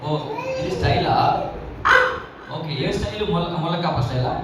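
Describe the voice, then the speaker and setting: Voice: medium pitch, expressive, normal speed. A host of a live children's puppet show, bantering playfully and asking teasing questions. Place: large indoor room or hall. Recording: echoey hall, distant mic, loud crowd noise. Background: noisy crowd of children.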